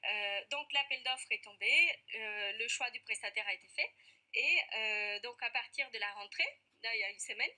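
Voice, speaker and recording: Woman guest with an Argentine accent, high bright voice, earnest and hesitant, TV studio. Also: moderate pace. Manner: earnest, many hesitations with "euh". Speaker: guest, parent activist. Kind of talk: TV interview answers.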